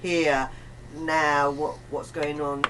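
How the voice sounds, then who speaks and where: A woman's voice: low voice, little pitch movement, slow pace. A woman chatting casually, emphatic and often checking that her listener agrees. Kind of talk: casual chat, conversational. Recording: clearly noisy background.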